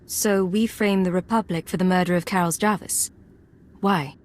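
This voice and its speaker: A woman's voice: lowish voice, fairly even intonation, moderate pace, lightly acted. Woman acting a composed, terse undercover agent. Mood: cool, probing. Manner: terse, controlled, businesslike. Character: composed, resolute young female undercover imperial agent. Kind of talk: dramatic video game acting.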